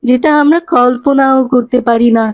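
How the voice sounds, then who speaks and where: A woman as an earnest, high-voiced cartoon boy. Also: fairly even intonation, normal speed, darkish tone, close to an everyday voice. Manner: earnest, explaining. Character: earnest, pious boy, gentle older brother to friends.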